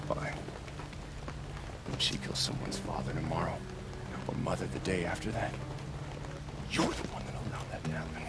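An actor playing a protective, stern older brother in a video game, earnest and moralizing, speaking emphatically. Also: male voice, very deep voice, normal speed, long pauses, over loud music. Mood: scornful, bitter. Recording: loud ambient noise behind.

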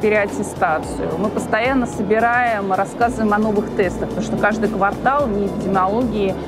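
A woman in her forties speaks in a measured, businesslike way at a trade-show stand, stopping often for hesitation fillers. Her voice sits at an ordinary middle pitch. Loud music plays behind her throughout. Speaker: franchise representative. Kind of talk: business interview. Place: exhibition stand in a large hall.

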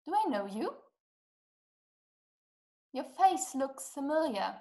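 A woman's voice: high voice, sing-song, slow pace, dark tone, heavily acted. A young woman playing a distraught, imperious invalid wife in a play, speaking with dramatic, emphatic, formal diction. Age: young adult. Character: distraught, imperious, self-pitying invalid wife, desperate and impatient. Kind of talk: theatrical stage-play acting over video call. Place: small dim room at home. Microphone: webcam video call.

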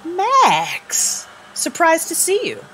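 A woman's voice: very high voice, wildly swooping pitch, drawn-out words, pushed and bright, a little hiss or hum, full character voice, low-quality recording. A woman playing a domineering vampire clan leader in an audio drama, mock-gracious and commanding.

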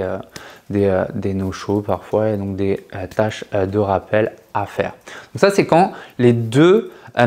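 A man in his thirties talks to camera from a sofa in a living room, explaining things in a loose, chatty way. He stumbles over fillers and restarts now and then. His voice sits at a middling pitch. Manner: chatty, many fillers and restarts, lively hand gestures. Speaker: sales trainer, channel co-host. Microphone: lapel mic.

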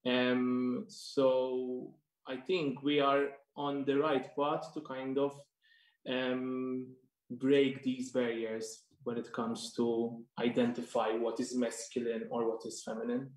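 Young man, flat voice, echoey webcam. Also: medium pitch. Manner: halting, repeats words while searching. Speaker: panelist. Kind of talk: panel discussion on a video call. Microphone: webcam on a video call.

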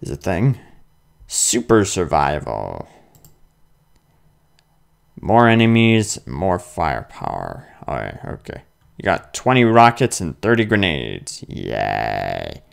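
A young man playing a video game and commenting on it, muttering slowly and then yelping loudly in fights, with faint game music behind. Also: a bass voice, wildly swooping pitch, gravelly. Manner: slow, mumbling asides that jump to loud yelps in fights. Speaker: gaming video host. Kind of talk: let's play commentary. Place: indoor room with webcam.

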